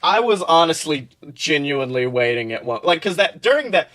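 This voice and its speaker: A man hosting a podcast in casual, enthusiastic chat, animated and freely swearing. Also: a little high, a little fast. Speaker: podcast host. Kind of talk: casual chat and fan fiction reading.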